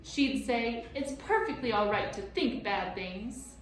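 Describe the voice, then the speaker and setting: An indignant little girl, put on by a woman reading aloud, scolds in a raised, sharp voice. The very echoey room lingers behind each word. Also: fairly high pitch, expressive, slow pace, recorded from a distance.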